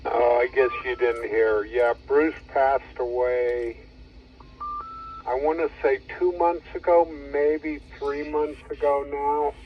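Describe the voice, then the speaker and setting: A man chatting casually over ham radio in a halting, rambling, matter-of-fact way. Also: mid-range voice, flat delivery. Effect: two-way radio transmission. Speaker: amateur radio operator. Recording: dull two-way radio signal, faint noise.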